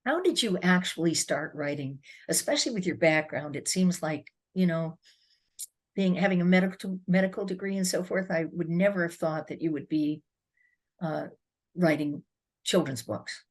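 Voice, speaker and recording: A woman moderating an author panel, asking questions and introducing guests in a measured, friendly way. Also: on the low side.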